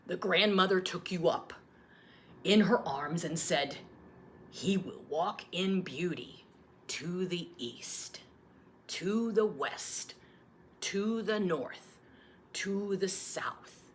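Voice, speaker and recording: Woman as a slow, low-voiced, solemn old grandfather. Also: expressive, a little hiss or hum. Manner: slow, grave, hushed storytelling. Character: gentle, solemn old grandfather telling a tale. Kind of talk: storybook read-aloud.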